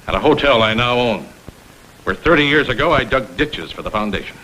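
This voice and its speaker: A man's voice: mid-range voice, animated, fairly quick, bright, forceful tone. An actor playing a middle-aged, self-made father in an old crime drama, fiercely protective, blunt and threatening. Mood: fierce, anguished. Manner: blunt, emphatic, tough-talking. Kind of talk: vintage American television crime drama acting. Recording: faintly noisy vintage film soundtrack.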